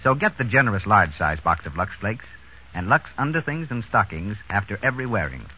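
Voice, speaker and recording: A man's voice: lowish voice, sing-song, a little fast, husky. A man announcing in scripted 1930s radio style as a program announcer. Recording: muffled 1930s radio broadcast.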